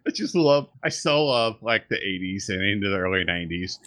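A man's voice: on the low side, fairly quick. A man co-hosting a casual movie podcast, telling rambling stories with plenty of asides. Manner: rambling, animated storytelling.

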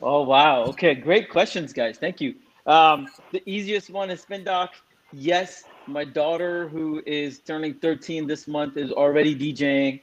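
A man's voice: fairly high pitch, fairly even intonation, measured pace, dull audio. A man in his forties, from Singapore, speaking as a guest in a frank, casual way with many fillers. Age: 40s. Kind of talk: casual interview answer.

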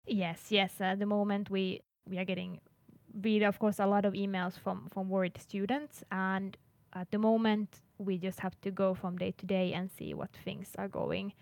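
A woman from Finland speaks at a mid-range pitch as an international services coordinator. She is earnest, restarts words often and laughs lightly now and then. Her voice is recorded dry and close. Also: level tone, normal speed, creaky, a little mellow, no echo. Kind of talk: interview answers.

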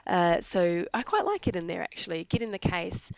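A woman from New Zealand speaks with a low, gravelly rasp, her voice worn down by illness. She explains her hospital work with eager, plain-spoken enthusiasm. The sound is dry and a little dull, like a lo-fi call. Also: moderate pace, no room sound. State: raspy voice from illness. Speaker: veterinary anaesthesia nurse. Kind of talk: casual interview answers.

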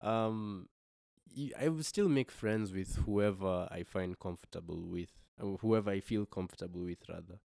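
Deep-voiced young man from Kenya. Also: moderate pace, creaky, warm tone, dry recording, studio quality. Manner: thoughtful, hesitant with many restarts. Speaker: guest musician. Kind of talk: reflective podcast conversation.

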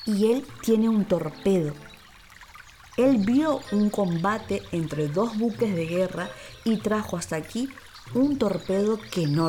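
A woman's voice: medium pitch, dark tone. A woman voicing an old, wise caiman in a children's story, speaking earnestly and with urgency.

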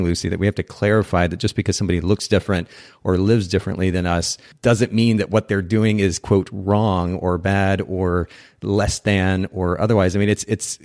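Male podcast host, very low voice, reflective and encouraging. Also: a little mellow. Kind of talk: casual podcast interview.

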